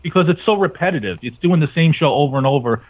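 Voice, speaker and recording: A man's voice: a little high, lively intonation, fast. A middle-aged man chatting casually as a guest on a talk show over a call. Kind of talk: casual chat. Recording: tinny phone-like line.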